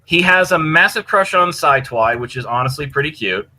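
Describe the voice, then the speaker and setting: Man, podcast co-host, bright forceful voice, rambling, enthusiastic, casual, sweary, some background noise. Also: fairly high pitch, lively intonation, moderate pace. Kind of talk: casual chat recommending fan fiction.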